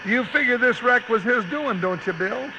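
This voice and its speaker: An actor playing a loyal, folksy, excitable cowboy sidekick with an American accent in a 1950s Western radio drama. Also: male voice, extremely high pitch, moderate pace, lo-fi sound, noisy background. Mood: concerned. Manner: folksy, colloquial. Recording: old radio broadcast.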